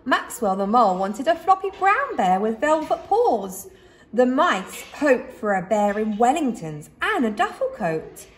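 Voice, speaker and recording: A woman reading a children's story aloud expressively, like a teacher, with dramatic pauses and emphasis. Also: a little high, very animated.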